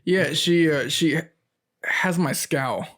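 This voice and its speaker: A man's voice: a little high, slow pace, a bit bright. A young man from Florida co-hosting a podcast, chatting casually about his baby daughter, with a loose, filler-heavy way of talking. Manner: easygoing, lots of fillers, laughs often. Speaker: podcast co-host. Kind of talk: casual chat.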